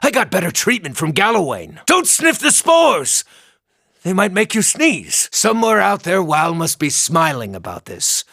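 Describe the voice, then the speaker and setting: A man's voice: sits high, unhurried, vocal fry, dry recording, studio quality, exaggerated voice. A man voicing an irreverent, lusty small furry druid in a video game, with a wry, theatrical delivery. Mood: alarmed, wry. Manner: wry, theatrical, swinging from excited to muttering. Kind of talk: video game character dialogue.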